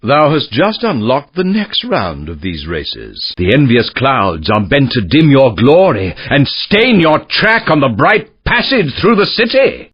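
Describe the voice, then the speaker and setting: Wildly swooping bard. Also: male voice, mid-range voice, slightly rough, full character voice. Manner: declaiming, archaic, flowery. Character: grandiose, theatrical male Shakespearean bard announcing races. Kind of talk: theatrical video game announcing. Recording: muffled lo-fi game audio, dry.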